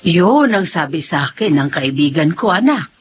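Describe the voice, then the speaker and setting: A woman's voice: sits low, very animated, moderate pace, dull audio, soft background music. A woman acting an encouraging middle-aged mother in a radio drama. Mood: encouraging, proud.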